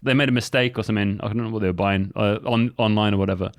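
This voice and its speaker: A man's voice: fairly low pitch, fairly even intonation, rapid-fire, dry recording, studio quality. A man co-hosting a podcast, explaining things in an easygoing way with lots of fillers. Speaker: podcast co-host. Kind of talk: casual chat.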